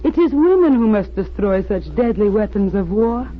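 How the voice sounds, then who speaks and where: An actress playing a crafty, beautiful spy posing as an earnest peace campaigner, urgent and persuasive in old-time radio style. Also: female voice, medium pitch, lively intonation, moderate pace, natural voice. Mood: urgent persuasion. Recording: tinny, noisy old radio recording.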